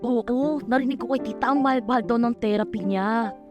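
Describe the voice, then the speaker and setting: A woman voicing a bubbly, teasing young female friend with a Filipino accent in an animated short film. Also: on the high side, a little fast, over music, close to an everyday voice. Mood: chatty. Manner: lively, teasing.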